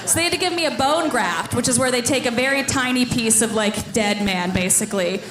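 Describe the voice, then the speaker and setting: A young stand-up comedian from Ohio with a slightly high voice and dry, self-mocking timing, chuckling at her own jokes. She's on a handheld mic in a club where the sound rings around the room. Audience noise sits clearly behind her. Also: brisk, echoey room. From Ohio, US Midwest. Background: audience laughter.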